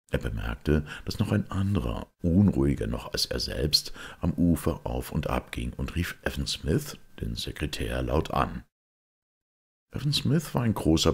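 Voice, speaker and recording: A man reading a detective story aloud as an audiobook narrator, measured and expressive, with a gravelly, husky voice. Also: very deep voice. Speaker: audiobook reader.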